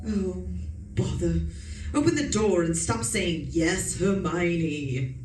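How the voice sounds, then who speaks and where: A woman's voice: lowish voice, slow pace, darkish tone, faint music behind. A woman acting an imperious, nagging society wife in a radio suspense play, scolding and sure of herself. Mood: bossy, suspicious. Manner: bossy, scolding, haughty. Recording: echoey room, distant mic, noisy background.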